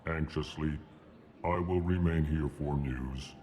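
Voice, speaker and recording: An actor voicing a grave, anxious alien leader in a video game. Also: male voice, very deep voice, takes it slowly, a little mellow.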